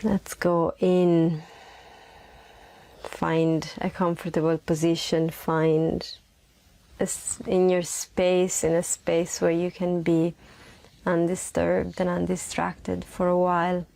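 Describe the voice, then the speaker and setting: A woman leading a guided meditation in a soothing, unhurried manner, with long pauses, repeated phrases and a soft voice that is breathy at times. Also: fairly low pitch, flat delivery, low-quality recording.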